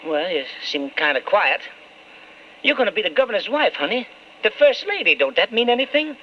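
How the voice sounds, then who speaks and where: An actor playing an eager, boastful Southern politician husband in a folksy, coaxing way, in an old-time radio drama. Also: male voice, high-pitched, big pitch swings, fairly quick. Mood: eager, teasing. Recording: muffled, noisy old radio recording.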